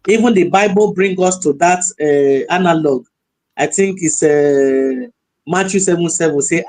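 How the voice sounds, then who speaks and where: A woman's voice: lowish voice, darkish tone, low-quality recording. Woman, middle-aged, from Nigeria, chatty, laughing, preacherly, video call. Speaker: pastor and panelist. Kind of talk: casual panel chat.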